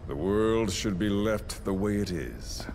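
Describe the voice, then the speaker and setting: An actor playing a menacing middle-aged male villain in a video game, speaking with mock courtesy and theatrical, measured menace. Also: lively intonation, gravelly, a little mellow. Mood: cold menace. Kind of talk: dramatic video game voice acting. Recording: noisy cassette-tape drama with rumbling ambience.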